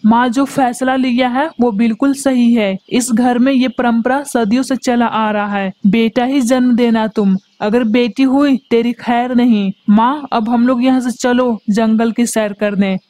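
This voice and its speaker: A domineering husband bird in a cartoon, voiced by a woman. He scolds in a mellow, fairly high voice. The recording is dry and close. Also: steady tone, unhurried, hardly any pauses, no echo, natural voice. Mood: scolding. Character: bossy male husband bird, mother's son.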